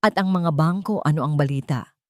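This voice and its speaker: A woman's voice: low-pitched, brisk, darkish tone, natural voice. A woman voicing a troubled but composed and caring businessman in an audio love story. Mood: forced calm. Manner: controlled, direct.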